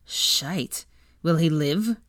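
Woman voicing a blunt, low-toned teenage brother. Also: very slow, no room sound, studio quality, full character voice. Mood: alarmed, grim. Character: blunt, protective teenage brother, swears freely. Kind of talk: audiobook character voice.

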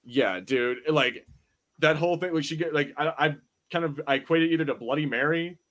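A man co-hosting a podcast in casual review banter, telling things in slangy, animated fashion and acting out quotes. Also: fairly high pitch, fairly quick. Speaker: podcast co-host.

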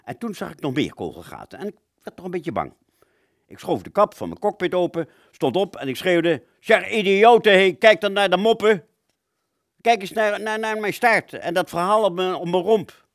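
A man's voice: high-pitched, expressive, moderate pace, gravelly, no room sound, clean studio sound. A man playing a rambling, agitated wartime fighter pilot who relives battle in a stammering, dramatic radio play monologue. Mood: shouting, angry.

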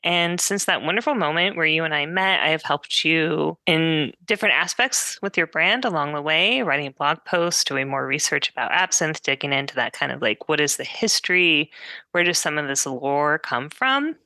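Bright, forceful woman, lowish pitch, dry sound. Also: moderate pace, no echo. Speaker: podcast co-host. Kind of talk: casual conversational podcast chat.

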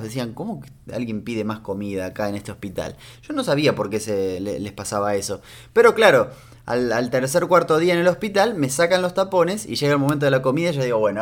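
Argentine man telling a funny story. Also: medium pitch. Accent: Argentine Spanish. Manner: animated, humorous storytelling with frequent restarts. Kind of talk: casual storytelling monologue.

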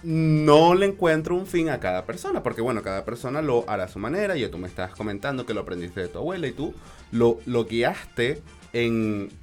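A man's voice: mid-range voice, animated, brisk, a little mellow, soft background music. A man hosting a live radio interview in a conversational way, often repeating and restarting his phrases. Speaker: radio show host.

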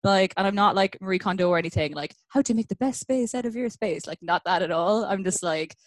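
An Irish woman guesting on a podcast, chatting in an animated, storytelling way with lots of filler words. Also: mid-range voice, brisk, no echo. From Ireland. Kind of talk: casual chat.

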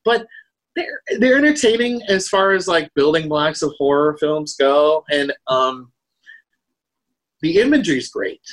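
A man co-hosting a podcast, talking casually about films in a halting, hedging way. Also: a little high, animated, fairly bright tone, poor audio. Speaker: podcast co-host. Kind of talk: casual film discussion.

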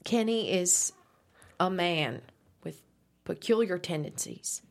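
A woman's voice: on the low side, animated, slow, vocal fry, heavily acted. A woman reading the part of a tough but evasive middle-aged working mother at a script table read. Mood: awkward, confiding.

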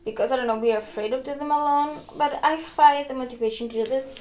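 A woman's voice: high-pitched, fast talker, a little mellow. Chatty Greek-accented young woman, bubbly. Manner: rambling, upbeat, giggly, with many fillers. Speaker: podcast host. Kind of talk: casual solo podcast chat. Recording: echoey room, slightly dull lo-fi sound.

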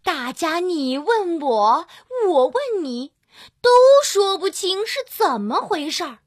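Slow, lively, high-voiced woman telling children's stories. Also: dry recording, studio quality. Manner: expressive, drawn-out storytelling with gentle sentence particles. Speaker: children's storyteller. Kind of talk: scripted fairy-tale narration for children. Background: children's music.

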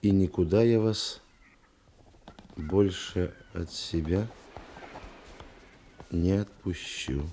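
An actor playing a plain, restrained male police detective in a radio play, laconic and hesitant, with deliberate phrasing. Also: deep voice, warm tone, slight background noise, full character voice. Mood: resolute, musing. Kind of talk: Russian radio play acting.

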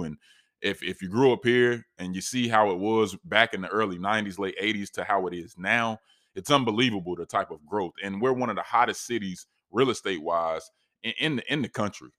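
A very deep-voiced man in his late thirties from North Carolina speaks in a loose, rambling, conversational way, full of restarts and asides. His voice is a little scratchy, and the sound is dry and close with no room echo. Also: fairly bright tone. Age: 30s. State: scratchy voice, sipping tea. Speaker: sports fan commentator. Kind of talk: casual monologue.

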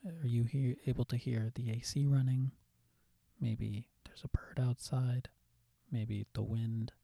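A soft-spoken man leading a guided meditation with gentle, soothing, calm instructions. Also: mid-range voice, measured pace, creaky, no room sound. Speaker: meditation guide, podcast host.

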